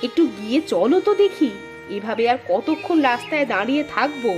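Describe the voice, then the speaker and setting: A woman's voice: fairly high pitch, expressive, brisk, close to an everyday voice. A woman voicing a weary, homeless village wife in a moral-tale cartoon, plaintive and nagging. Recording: music with strings behind the voice.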